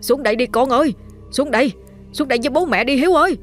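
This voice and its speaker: A woman voicing distraught parents of a missing boy, crying out high and fast. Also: faint music behind, close to an everyday voice.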